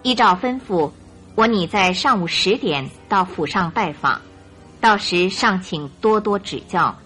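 A woman narrator giving scripted, expressive Mandarin storytelling for a radio drama. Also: medium pitch, a little slow, husky, faint music behind.

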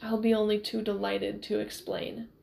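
A woman voicing a wry young male hero, soft and measured, with quiet menace. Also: mid-range voice, monotone, creaky. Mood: calm defiance.

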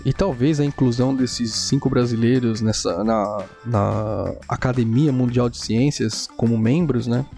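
A Brazilian man with a gravelly, darkly mellow voice talks through a solo podcast episode. He rambles casually and keeps checking in with the listener. Faint music plays underneath. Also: medium pitch, a little slow, hardly any pauses. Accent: Brazilian Portuguese. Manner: chatty, rambling, frequent "né?" tags. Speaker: podcast host. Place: home in a small town.

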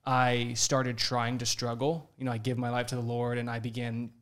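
A man's voice: medium pitch, level tone, normal speed. A man in his twenties, a podcast guest, earnestly telling a personal story in casual talk. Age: 20s.

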